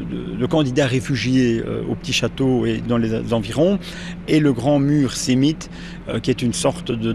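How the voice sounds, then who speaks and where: A man gives an expert's explanation in an interview, often pausing to find words. His mid-pitched voice is markedly creaky, with clear noise behind him. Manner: hesitant, searching for words. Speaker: art expert being interviewed. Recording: clear background noise on location.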